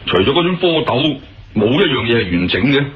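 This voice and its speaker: A matter-of-fact policeman acted by a man in a Cantonese radio play, with a Hong Kong accent. He explains in a businesslike way with lively intonation. The sound is a little dull, with faint noise. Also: mid-range voice, fairly quick, close to an everyday voice. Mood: explaining. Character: male police officer, matter-of-fact, advising a colleague. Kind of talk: radio drama acting.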